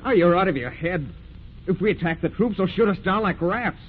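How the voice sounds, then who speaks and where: Excitable high-voiced sailor, old radio. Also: male voice, fairly quick. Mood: alarmed. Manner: excitable, protesting. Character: agitated, fearful, doubting male sailor. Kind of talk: 1940s radio drama acting. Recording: muffled, noisy vintage radio drama recording.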